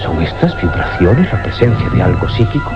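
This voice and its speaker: Stern police inspector, wide swooping delivery. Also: male voice, low voice, very animated, moderate pace. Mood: puzzled musing. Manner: formal, authoritative, theatrical. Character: stern, skeptical police inspector, adult man. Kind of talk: old radio drama acting. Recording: dull radio recording under loud music.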